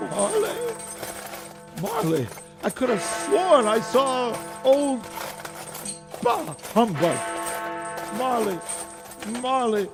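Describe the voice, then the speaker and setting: Crotchety old male miser, very high and wildly swooping, raspy, stammering, fearful, radio drama, long reverb. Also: full character voice. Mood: fearful, defiant. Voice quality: gravelly, raspy. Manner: quavering, stammering, theatrical exclamations.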